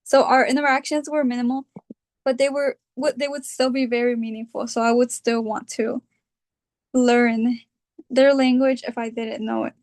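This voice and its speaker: A young woman from California with a California accent, talking about her own experience in a reflective, hesitant way, often pausing on fillers. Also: fairly high pitch, steady tone, darkish tone. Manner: hesitant, thinking aloud with fillers. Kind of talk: reflective personal talk.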